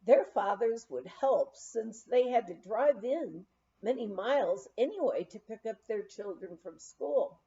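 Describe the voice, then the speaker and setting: A woman's voice: on the high side, expressive, a little slow, warm tone. A woman reading a memoir aloud as an audiobook narrator, on a slightly dull recording. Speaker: audiobook reader.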